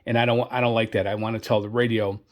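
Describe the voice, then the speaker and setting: A man's voice: lowish voice, little pitch movement, fast, slight background noise. A man giving a casual product demonstration as a reviewer, in a hesitant, rambling way, often repeating himself. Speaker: radio reviewer presenting gear.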